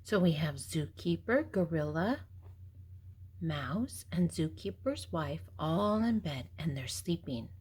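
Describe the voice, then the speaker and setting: An older woman reads a picture book to small children at a very leisurely pace. Her voice is husky and a bit low. She explains things gently and simply, leaving pauses as she goes. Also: animated. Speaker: storybook reader and host. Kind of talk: reading a picture book aloud to young children.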